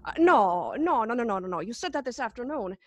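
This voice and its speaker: A woman's voice: on the high side, sing-song, fast, dry recording. A woman playing a drunk, romantic male writer in a radio play, stammering, hiccuping and repeating words. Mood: earnest, drunk. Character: tipsy, rambling, romantic young male writer.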